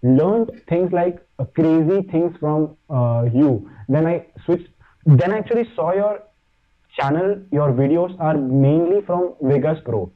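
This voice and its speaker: Podcast guest: Indian-accented man, Hindi native, halting delivery, fairly high voice, phone-thin sound. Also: lively intonation. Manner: halting, many fillers and restarts. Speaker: podcast guest, fitness YouTuber. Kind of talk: casual chat. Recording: tinny phone-like line.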